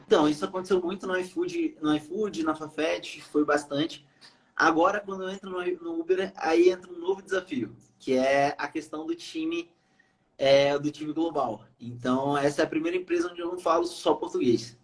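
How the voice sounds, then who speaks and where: A man's voice: on the high side, moderate pace, poor audio. A young Brazilian man from Brazil, a podcast guest speaking casually with many fillers and hesitations. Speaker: podcast guest, iOS developer. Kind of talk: casual interview chat.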